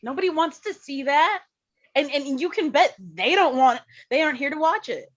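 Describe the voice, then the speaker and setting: A woman's voice: high voice, expressive, moderate pace, dull audio. A woman playing a sardonic, grumbling objector in a staged reading of a play. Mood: sour.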